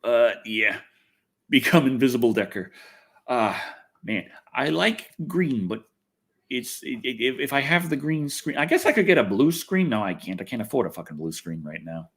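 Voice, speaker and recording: A man's voice: mid-range voice, lively intonation, moderate pace, fairly bright tone, breathy edge. An American man from Texas hosting a casual, rambling livestream, thinking aloud, swearing freely and poking fun at himself. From Texas, United States. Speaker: livestream host and video reviewer. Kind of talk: casual rambling livestream chat answering viewers.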